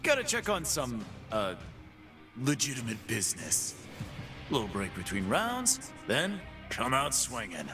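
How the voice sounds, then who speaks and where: An actor voicing a cocky, brawling male fight-pit boss in game voice lines, full of gruff, boastful trash talk, with game music behind. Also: medium pitch, huge pitch swings, measured pace.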